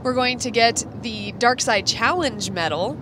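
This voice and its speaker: A woman's voice: a little high, a little slow. A woman co-hosting a podcast in casual, enthusiastic chat, recorded inside a car as she drives. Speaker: podcast co-host. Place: inside a moving car, while driving. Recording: clear car road noise behind voice. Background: vehicle and road noise.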